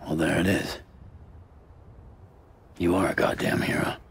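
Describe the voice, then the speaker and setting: Deep, gravelly laconic hero. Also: male voice, level tone, measured pace, full character voice. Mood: quiet, earnest. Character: gruff, earnest, laconic male courier hero. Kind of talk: understated cinematic video game acting.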